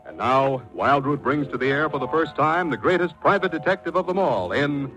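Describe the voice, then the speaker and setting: A man's voice: on the high side, lively intonation, moderate pace, fairly bright tone, soft background music, rough recording. A man announcing in a polished, punchy 1940s radio advertising style.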